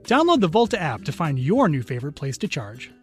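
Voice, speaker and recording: High-pitched, lively male ad announcer. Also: fairly quick. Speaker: advertisement announcer. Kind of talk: scripted commercial narration. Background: faint music.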